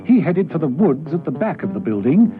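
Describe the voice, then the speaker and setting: A man's voice: a little high, very animated, fairly quick. A man narrating a spy radio drama in a dramatic, scripted storytelling style. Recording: tinny, noisy old radio broadcast.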